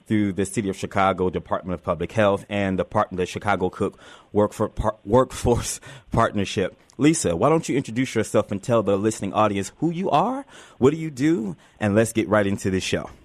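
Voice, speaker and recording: Low-voiced man hosting radio, close and dry. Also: expressive, a little fast, no echo. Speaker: radio show host. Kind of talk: live community radio interview.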